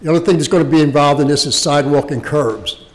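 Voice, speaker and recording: An American man presenting a project at a public meeting as a local official, speaking off the cuff in a halting way and repeating words. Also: fairly high pitch. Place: indoor township meeting room. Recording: reverberant meeting hall.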